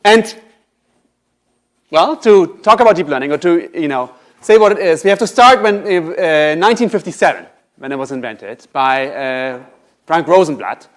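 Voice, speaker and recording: A man giving an academic lecture as guest speaker, relaxed and conversational with frequent fillers and a loud voice. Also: fairly high pitch, moderate pace.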